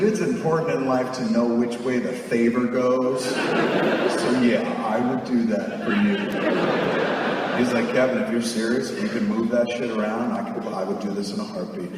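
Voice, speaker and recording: A man's voice: medium pitch, very noisy. Stage storyteller, man, 40s, swears a lot, does the voices; live hall recording with long reverb. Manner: profane, animated, acts out quoted voices. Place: theater stage, live audience. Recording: echoey hall, far from mic.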